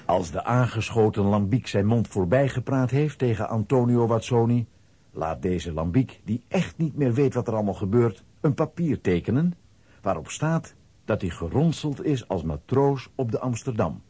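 A man narrating a children's radio play as an expressive storyteller reading a script. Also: medium pitch, moderate pace, husky, no room sound.

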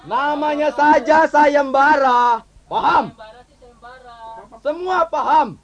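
A man's voice: very high voice, slow, dull audio, a faint crowd, exaggerated voice. A man playing a bossy, impatient royal herald in an audio fairy tale, with an officious, scolding way of talking.

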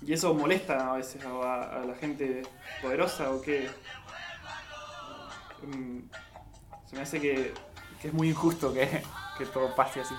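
A man with an Argentine accent talks informally on a radio panel. His voice is mid-pitched and he gropes for words, with light music behind him. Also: steady tone, a little slow, pauses often. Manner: hesitant, searching for words.

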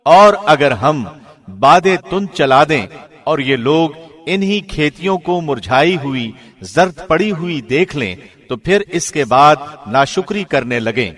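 A man calmly reading a scripted translation in measured phrases, recorded in a studio. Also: a little high. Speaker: translation narrator. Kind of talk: scripted narration.

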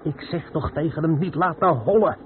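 An actor playing an irascible, blustering truck driver who sputters and scolds in a radio play. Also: male voice, fairly high pitch, normal speed, lightly acted. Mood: indignant. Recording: tinny noisy old radio play recording.

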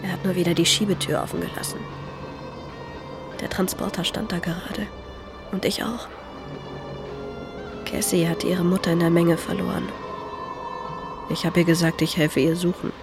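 A woman's voice: lowish voice, level tone, moderate pace, long pauses, music in the background, natural voice. An actress playing a cold, secretive teenage girl in a radio drama, matter-of-fact and at times whispery. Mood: cold, confessing.

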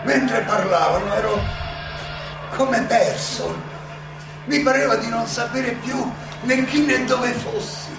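Old greek philosopher defending himself onstage, ironic n indignant, keeps repeating himself, far off in big echo, music behind. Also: male voice, very high voice, exaggerated voice. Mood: indignant irony. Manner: halting, rhetorical, repeats phrases, interjects questions. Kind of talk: theatrical stage monologue. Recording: very echoey, distant miking, music behind.

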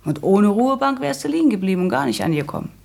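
An actress playing a brisk, practical young woman in a radio play, bossy and matter-of-fact, with a husky, creaky voice. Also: medium pitch, sing-song, a little mellow. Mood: patient, explaining. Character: brisk, practical young daughter bossing her fiancé. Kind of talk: German literary radio play acting.

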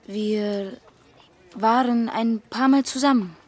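Shy, slightly husky teenage girl. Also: on the high side, slow pace. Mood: hesitant, shy. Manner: hesitant, guarded, simple short answers. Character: shy, naive butcher's teenage daughter under questioning. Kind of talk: German radio drama acting.

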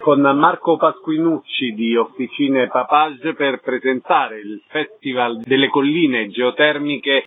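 A man works as a radio interviewer with a fairly high voice. His prepared questions and introductions come through narrow and tinny, like a phone line.

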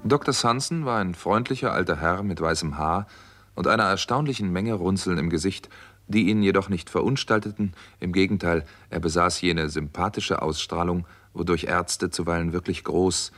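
An actor playing a calm, inquisitive doctor who narrates a crime story in a 1960s German radio drama. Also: male voice, sits low, moderate pace. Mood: measured narrating.

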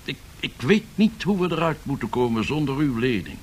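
A man's voice: medium pitch, big pitch swings, moderate pace, slightly rough. An actor playing a courteous, troubled French statesman with a formal, apologetic manner, in radio drama style. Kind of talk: 1970s Dutch radio drama acting. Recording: noisy 1970s radio drama recording.

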